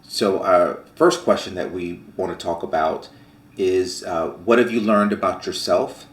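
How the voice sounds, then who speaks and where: A man with a very low voice speaks reflectively and at a measured pace, with a few fillers. He is recorded in an echoey room. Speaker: farm vlog co-host. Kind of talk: casual conversation.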